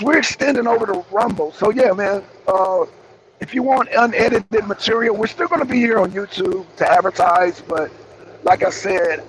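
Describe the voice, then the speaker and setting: Man, raspy, high-pitched, casual live-stream host, rambling and repetitive, faint background noise. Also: poor audio. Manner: rambling, repeats phrases, greets viewers by name. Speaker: streamer hosting a wrestling show.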